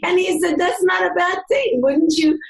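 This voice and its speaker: An older woman from North America hosting an interview, telling a personal story in an animated, emphatic way. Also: very high-pitched, moderate pace, creaky. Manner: animated, emphatic, voice rising when excited. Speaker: interview host.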